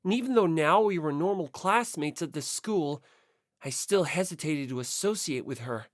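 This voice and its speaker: A woman's voice: low voice, expressive, moderate pace, no echo, clean studio sound. A woman reading scripted first-person story narration as an audiobook narrator.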